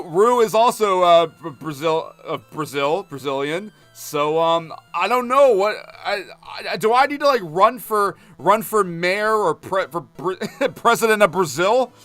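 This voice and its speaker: A man's voice: high-pitched, fairly bright tone, dry recording. Chatty man streaming a game. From United States. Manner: rambling, talkative, many fillers, reads chat aloud and jokes. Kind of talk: casual live-stream chat while gaming. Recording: music playing behind.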